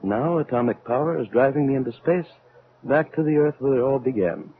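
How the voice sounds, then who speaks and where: An actor playing a stern, gloomy older doctor of science in a radio drama, formal and admonishing in manner. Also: male voice, medium pitch, fairly quick, breathy edge, natural voice. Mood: brooding. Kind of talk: old radio drama acting. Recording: thin phone-like old radio recording.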